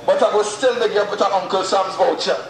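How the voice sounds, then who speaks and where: A man answering quiz questions on a beach, excitable and full of banter. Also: sits high, fast, creaky. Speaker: interviewee. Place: outdoors on a beach. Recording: far off with a crowd behind, echoey.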